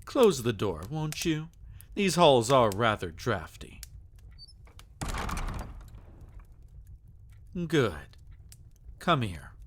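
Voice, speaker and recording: A man's voice: mid-range voice, big pitch swings, slow, slight background noise. A man acting as a sadistic, possessive vampire lord in an intimate ASMR roleplay, teasing and taunting with theatrical, menacing endearments. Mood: coaxing, commanding.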